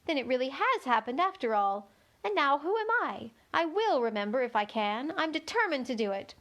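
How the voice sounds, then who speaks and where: A woman's voice: high-pitched, sing-song, normal speed, fairly bright tone, dull audio. A woman voicing a polite, curious young girl in a children's storybook, thoughtful and earnest with a slightly anxious edge. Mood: puzzled. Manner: musing, earnest. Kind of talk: children's storybook read-aloud.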